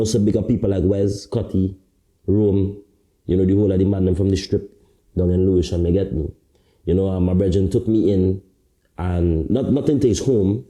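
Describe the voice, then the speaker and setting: A man guesting on a podcast, telling his story in relaxed street slang with many "you get me"s. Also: deep voice, low-quality recording. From Caribbean. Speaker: guest, artist turned talent manager. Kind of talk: casual podcast interview.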